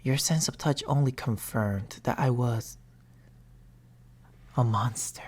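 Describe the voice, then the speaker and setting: A woman voicing a patient, mournful male sleep paralysis demon in a hushed, formal ASMR roleplay, often whispering close to a binaural microphone. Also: extremely low pitch, slow, husky, heavily acted. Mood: wounded, brooding.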